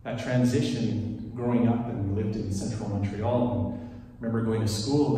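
A Swiss-born man in his forties whose first language is French, giving a relaxed, chatty sermon as worship leader into a handheld mic in a large church. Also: lowish voice, slight background noise. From Switzerland. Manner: relaxed storytelling, chatty asides. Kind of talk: conversational sermon. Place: large wood-panelled church sanctuary. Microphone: handheld microphone, filmed from far. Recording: echoey church hall from a distance.

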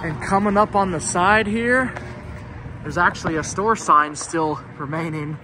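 A man's voice: sits high, unhurried. A young man giving casual, rambling walk-through commentary as an urban explorer vlogger. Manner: chatty, rambling asides. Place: outdoors by an empty store building. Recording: outdoor noise on a handheld mic.

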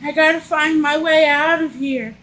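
A woman playing a bewildered little old lady who frets in plaintive, drawn-out questions in a comic skit. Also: high voice, fairly even intonation, a bit bright. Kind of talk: comic household argument skit.

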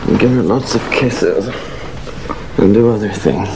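An actor playing a shy, earnest, devout young lover in an intimate film scene. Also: male voice, lowish voice, measured pace, noisy background, lightly acted.

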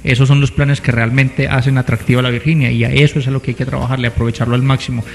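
A man's voice: mid-range voice, flat delivery, rapid-fire, vocal fry, in a large hall. A man in his thirties with a Colombian accent, answering earnestly as an interview guest, repeating phrases for emphasis, on a handheld mic. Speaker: interview guest, council candidate. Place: small TV studio set. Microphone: handheld microphone.

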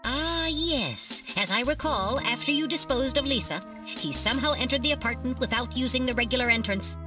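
A woman's voice: sits high, normal speed, far from the mic, close to an everyday voice. A woman playing a small, polite robot navigator in an adventure game, earnest and formal, with precise phrasing. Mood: analytical, calm. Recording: echoey hall-like reverb, music behind.

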